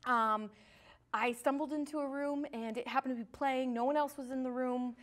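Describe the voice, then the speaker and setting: A woman chatting casually as a guest, with a bubbly, excitable, giggly way of talking. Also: sits high, moderate pace. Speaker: guest, studio production coordinator. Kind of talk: casual chat.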